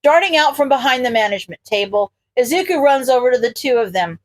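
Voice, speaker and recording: Female narrator, higher-pitched, scripted fiction reading, audiobook-style storytelling. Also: lively intonation, fast talker, rough recording. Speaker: podfic reader.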